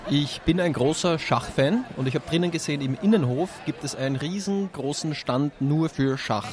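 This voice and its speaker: A man's voice: a little high, normal speed, crowd noise. A man, a teacher answering interview questions outdoors at a street festival in a friendly, candid way.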